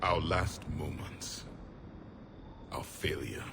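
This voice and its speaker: An actor playing a proud, embittered ancient alien warrior, grave, imperious and terse, in a video game. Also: male voice, extremely low pitch, slow pace, gravelly, noisy background, full character voice. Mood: somber. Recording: loud background music. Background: music.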